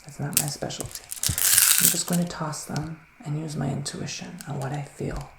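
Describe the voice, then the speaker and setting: A man speaking softly in an ASMR roleplay as a psychic witch, often near a whisper, musing slowly with little hums. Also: on the high side, level tone, creaky, reverberant, heavy background noise. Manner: slow, hesitant, musing, with murmured hums. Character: gentle, mystical male witch giving readings. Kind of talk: soft-spoken ASMR roleplay, partly whispered.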